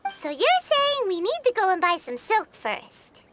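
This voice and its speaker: An actress voicing a tiny, chirpy female fairy companion with a high, squeaky voice and an excitable, curious manner, in game dialogue. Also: unhurried, a little hiss or hum, exaggerated voice. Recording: game audio with loud music behind.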